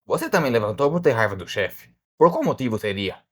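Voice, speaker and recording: A man voicing a blunt, exasperated alligator detective, a ship's guard who questions people in a casual, muttering way, in an animated film. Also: mid-range voice, a little fast, breathy edge. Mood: suspicious. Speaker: ship security guard turned detective. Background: faint music.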